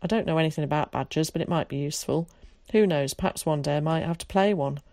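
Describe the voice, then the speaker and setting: A woman's voice: low voice, fast talker, warm tone, dry recording, studio quality. A woman voicing an eager, theatrical, talkative young boy in a storybook, with dramatic, chummy old-fashioned phrasing.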